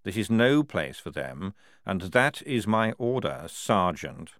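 A man playing a calm, commanding old general. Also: low voice, no room sound, clean studio sound, exaggerated voice. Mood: calm, firm. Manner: measured, unhurried, quietly commanding. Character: calm, dry-witted senior male army general.

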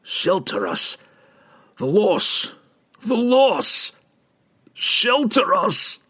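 Guy doing an old dwarf warrior, delirious with grief, husky n crying out, fantasy audiobook. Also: sits high, huge pitch swings, drawn-out words, pushed and bright, voice pushed up, heavily acted. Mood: despairing. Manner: crying out, gasping, exclaiming.

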